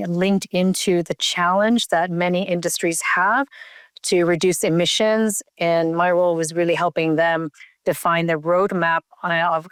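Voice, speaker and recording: A woman's voice: on the low side, clear, resonant tone, no echo. A woman from Canada, a company CEO, answering interview questions over a remote call, thoughtful with many fillers and restarts.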